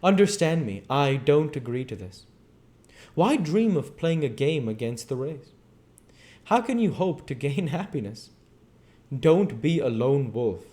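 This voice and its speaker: A man voicing a wary gentleman in a storybook reading, protesting earnestly and reasoning with urgency. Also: mid-range voice, very animated, a little slow, voice pushed up. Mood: urgent protest.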